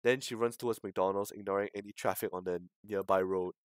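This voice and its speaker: A man's voice: fairly low pitch, fairly even intonation, fairly quick, smooth, no room sound. A man streaming, reading a visual novel aloud with dramatic narration and his own commentary.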